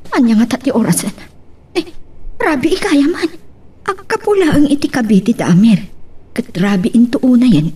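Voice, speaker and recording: An actress playing a woman musing alone at night in a radio drama, with a husky voice and a Filipino accent. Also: medium pitch, mellow.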